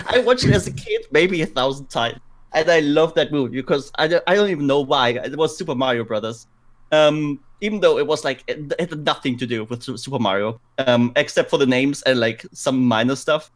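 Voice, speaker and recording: A man's voice: mid-range voice, fairly quick. A man co-hosting a podcast, chatting casually and repeating words for emphasis as he tells things. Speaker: podcast co-host. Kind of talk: casual podcast chat about games and films.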